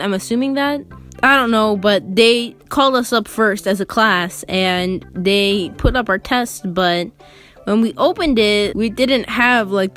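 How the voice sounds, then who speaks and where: A woman's voice: medium pitch, fairly bright tone. A teenage girl telling a personal story in a casual, rambling, conversational way with lots of filler words. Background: background music.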